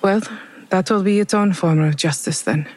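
A woman's voice: fairly low pitch, normal speed, a little mellow, natural voice. A woman playing a bitter, guarded coworker in an audio drama, terse and resigned.

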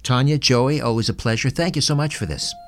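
A man's voice: mid-range voice, brisk, a bit bright, a little hiss or hum. A man hosting an interview show, putting questions to guests and addressing listeners as presenter. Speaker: podcast host, interviewer.

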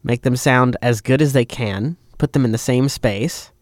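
A man explaining in a measured, conversational way as a podcast panelist and producer. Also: medium pitch, darkish tone, no echo, studio quality.

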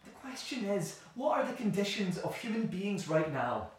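An actress in an audio play voicing an earnest, reflective idealist who speaks in measured, thoughtful argument. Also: female voice, mid-range voice, sing-song, lightly acted. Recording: very echoey room, far from mic.

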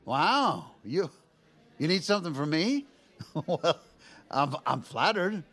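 A tipsy, goofy politician in a comedic audio drama. His voice sits high and leaps around in pitch. He rambles and stammers, folksy and crude. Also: big pitch swings, slow pace, fairly bright tone, exaggerated voice. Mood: playful, bumbling. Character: boozy, goofy, folksy male vice president.

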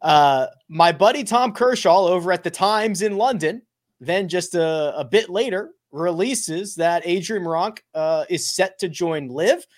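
Male podcast host, fairly high voice, very expressive, playful, loose chat. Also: unhurried. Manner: animated, jokey, some restarts. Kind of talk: casual sports podcast chat.

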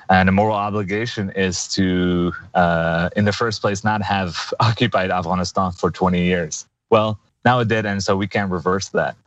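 A man in his thirties answering interview questions in an earnest, halting way, with many fillers and restarts. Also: deep voice, no echo, highs cut off. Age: 30s. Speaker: guest activist.

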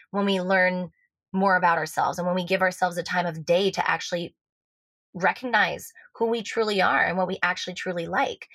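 A woman's voice: on the low side, a bit bright, no room sound. Woman, American accent, love coach guest, rambling earnest talk, dry close recording. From Switzerland. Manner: rambling, earnest, frequent fillers and restarts. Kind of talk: casual podcast interview.

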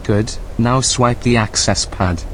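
An actor playing a calm artificial intelligence guide in an audio drama, speaking in a measured, matter-of-fact, commanding way. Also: male voice, medium pitch, very noisy, close to an everyday voice.

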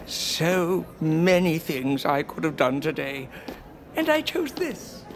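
A woman voicing an exasperated, weary backstage costumer creature with a drawn-out delivery in an audio drama. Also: low-pitched, very animated, a little hiss or hum, full character voice.

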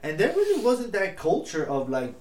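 A young man whose first language is Spanish, co-hosting a casual podcast chat in an animated, slangy way with lots of swearing. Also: high voice, normal speed. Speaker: podcast co-host. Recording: echoey room with faint noise.

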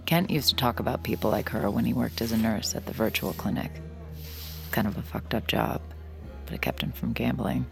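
Low, creaky, weary sardonic woman. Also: very animated, fairly quick, music in the background, full character voice. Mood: dry, weary narration. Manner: dry, sardonic, tired. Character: weary, sardonic woman bartender narrating her own troubles. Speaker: narrator and lead character. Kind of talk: audio fiction drama with first-person narration.

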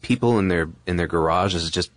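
A man's voice: low-pitched, normal speed, no room sound, clean studio sound. A man co-hosting a podcast, commenting casually in a reflective, informal way. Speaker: podcast co-host.

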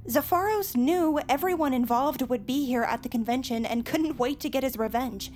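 Woman playing a high-voiced earnest nerdy teenage girl. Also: normal speed, darkish tone, soft background music, natural voice. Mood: earnest, explaining. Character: nerdy, earnest high school girl, horror franchise superfan. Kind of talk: audio drama acting.

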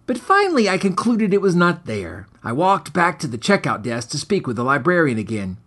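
A man narrates a comic horror tale with mock-serious formality. His voice is slightly high and husky, and it moves up and down theatrically as he reads. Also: wildly swooping pitch, fairly quick, a bit bright. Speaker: author reading his own short story, podcast host. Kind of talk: scripted dramatic narration of a comic horror story.

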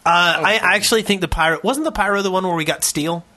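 A man's voice: sits high, quick pace, sharp tone. A man co-hosting a podcast, chatting casually with a playful, joking manner. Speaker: podcast co-host. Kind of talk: casual chat.

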